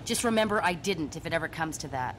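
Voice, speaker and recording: An actress playing a tough, cynical young rebel in an audio drama, speaking in a wry, blunt way. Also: female voice, sits low, animated, quick pace, fairly bright tone, slight background noise. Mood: dry recounting. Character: cynical, tough woman, a captured rebel escapee.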